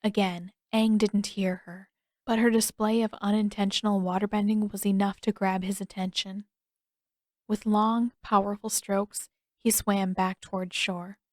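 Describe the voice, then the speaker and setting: A woman's voice: mid-range voice, level tone, unhurried, a little mellow, no room sound, clean studio sound. A woman reading scripted fiction as an audiobook narrator.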